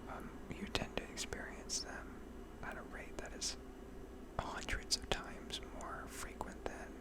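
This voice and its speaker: A woman in her twenties whispering a rambling, digressive ASMR chat close to a condenser microphone. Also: unhurried. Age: 20s. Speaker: gaming ASMR creator. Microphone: close condenser mic.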